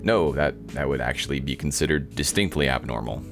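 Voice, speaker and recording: Visual novel protagonist: sardonic young guy read by a man, low-pitched, disappointed, dryly narrating. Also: fairly quick, gravelly, music in the background. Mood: bemused. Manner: dry, incredulous, narrating.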